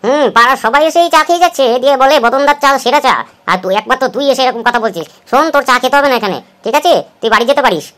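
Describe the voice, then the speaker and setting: A touchy, boastful tea seller, played by a woman. He talks fast and brags loudly about his tea. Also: high voice, expressive. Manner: boastful, quick-tempered.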